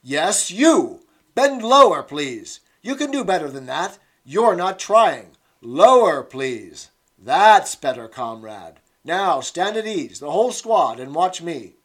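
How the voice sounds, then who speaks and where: A man's voice: big pitch swings, takes it slowly, hardly any pauses, bright, forceful tone, exaggerated voice. A man voicing a shrewish, bossy woman fitness instructress in her late thirties who barks commands. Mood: shrill, scolding. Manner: shrill, barking commands.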